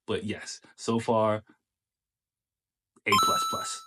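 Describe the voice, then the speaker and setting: A man with a low, bass-heavy voice talks through dating advice in a loose, coaching manner. He pauses on fillers and speaks directly to the viewer. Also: little pitch movement, very noisy. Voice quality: deep bass voice. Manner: chatty, coaching, many fillers. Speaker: host giving dating advice. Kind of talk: casual commentary on a clip.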